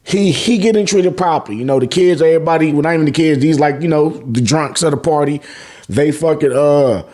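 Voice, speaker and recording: A man's voice: a little high, brisk, mellow. An American man hosting a casual, slangy and profane recap, sometimes acting out lines as he goes. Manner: profane, informal, acts out characters' lines. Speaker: YouTube host reviewing manga chapters.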